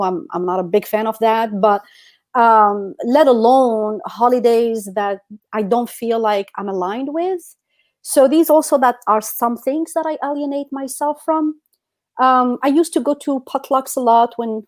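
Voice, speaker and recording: A woman's voice: a little high, darkish tone. A woman co-hosting a podcast, telling personal stories in a casual, emphatic, conversational way full of "you know". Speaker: podcast co-host.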